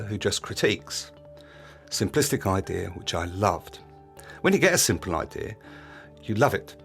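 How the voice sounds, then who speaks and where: A man's voice: fairly low pitch, a bit bright. A man hosting a podcast, delivering a scripted, confiding monologue in a reflective, plain-spoken way. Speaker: podcast host.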